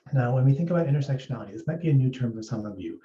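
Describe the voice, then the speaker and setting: A man from East Africa giving a measured, explanatory workplace presentation as an HR speaker. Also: medium pitch, expressive, dark tone. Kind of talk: presentation talk. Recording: roomy, distant, dull sound.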